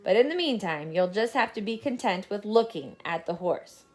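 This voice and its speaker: A woman's voice: mid-range voice, expressive, normal speed, faint music behind, lightly acted. Woman as a weary, firm storybook mother. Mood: resigned, firm.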